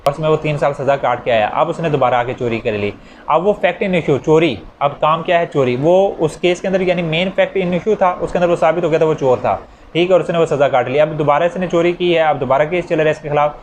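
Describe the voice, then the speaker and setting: Pakistani guy teaching law, slightly high voice, explains with examples and repeats himself, bit of noise behind. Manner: informal step-by-step explaining, repeats key phrases; mixes in English legal terms. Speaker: law lecturer. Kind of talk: explanatory lecture with worked examples.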